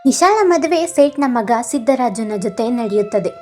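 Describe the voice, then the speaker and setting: A woman narrating an animated moral story in a steady, storytelling manner. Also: fairly high pitch, moderate pace, soft background music.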